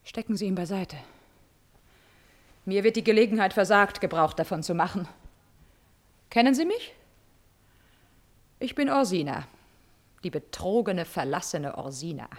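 An actress playing a bitter, mocking, vengeful countess in a classical radio tragedy, theatrical and emphatic, with biting irony. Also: female voice, medium pitch, expressive, measured pace, pauses often. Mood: urgent, conspiratorial. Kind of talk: classical German stage tragedy as radio drama.

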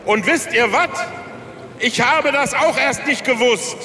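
High-voiced brash populist in echoing hall. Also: male voice, unhurried, sharp tone, slight background noise, full character voice. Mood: explanatory. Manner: blunt, folksy, rabble-rousing. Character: brash male populist candidate, former sausage manufacturer. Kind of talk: campaign speech in radio satire.

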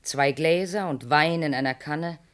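An actress playing a cold, insistent woman who urges a man to murder, speaking calmly and at times in a whisper. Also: low voice, unhurried. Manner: calm, insistent, sometimes whispering.

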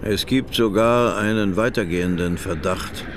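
Casting: male actor as lowish, curt official investigator, guarded and persistent, radio play with noisy ambience. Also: moderate pace, natural voice. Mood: guarded, pressing. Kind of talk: German radio drama acting.

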